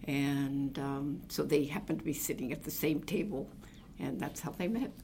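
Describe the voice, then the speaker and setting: Older lady from new jersey, low gravelly voice, rambling reflective memories w lots of ums. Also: moderate pace, mellow, a little hiss or hum. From New Jersey, USA. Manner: meandering, reflective storytelling with many fillers. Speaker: oral history interviewee. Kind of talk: reminiscing conversation.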